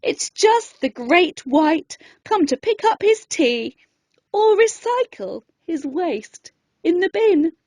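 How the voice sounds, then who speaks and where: A woman reads a children's rhyme aloud with theatrical expression. Her voice is high and animated, and she takes her time over every line, very slowly and deliberately. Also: no echo. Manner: theatrical, deliberate rhyming delivery. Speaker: children's storyteller. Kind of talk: expressive scripted bedtime story narration in verse.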